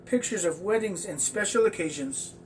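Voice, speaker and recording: A man telling a children's story aloud in a halting, stumbling way. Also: high voice. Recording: distant mic with faint background noise.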